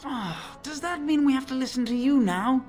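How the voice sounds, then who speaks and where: A high-voiced young boy squire in a fantasy game. He is bored and cheeky, with a whiny delivery. Faint music plays behind. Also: animated, normal speed, a little mellow. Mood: bored, whining. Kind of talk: video game voice acting.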